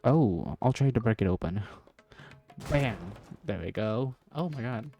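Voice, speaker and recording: A man's voice: medium pitch, lively intonation, measured pace, vocal fry, dark tone, soft background music. A man doing casual gameplay commentary, murmuring exclamations and repeating his words as he reacts. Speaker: gameplay streamer.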